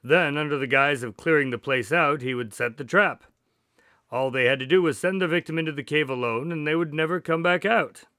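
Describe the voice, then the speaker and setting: A man playing an earnest, measured doctor and detective's sidekick in a radio drama. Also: on the high side, pushed and bright, no room sound, studio quality. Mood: earnest storytelling. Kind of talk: radio drama dialogue and storytelling.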